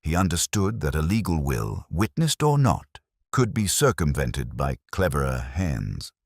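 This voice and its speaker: A man reads as an analytical Victorian detective in a storybook. The voice is extremely deep and husky, moving expressively in pitch. He reasons coolly, with deliberate, precise emphasis. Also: big pitch swings, no echo, clean studio sound, full character voice. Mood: probing, deliberate.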